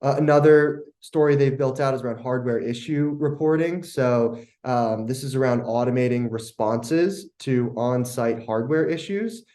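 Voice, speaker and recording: A man's voice: mid-range voice, moderate pace, hardly any pauses, warm tone. A man giving a product case-study presentation in an explanatory, conversational way.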